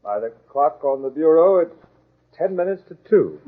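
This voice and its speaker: Exasperated radio-play dad, mid-pitched, lively inflection, thin vintage broadcast sound. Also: male voice, normal speed. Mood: placid, dry. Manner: dry, exasperated. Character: dry, grumbling middle-aged father. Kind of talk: 1940s radio comedy acting. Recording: tinny narrow-band vintage radio.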